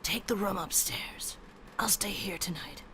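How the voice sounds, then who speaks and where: An actress playing a weary, sick, secretive woman scholar in a radio drama, speaking in a breathy whisper. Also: medium pitch, unhurried, natural voice. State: sounds exhausted and sick.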